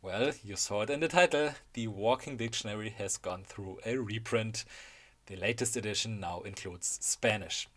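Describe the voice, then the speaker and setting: A man's voice: on the low side, moderate pace, a bit bright. A man with a German accent narrating a scripted video essay in a wry, enthusiastic way.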